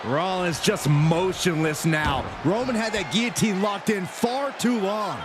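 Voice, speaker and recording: A man commentating on a wrestling match in an animated sportscasting style. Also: high-pitched, a little slow. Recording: very noisy arena crowd. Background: roaring crowd, slams.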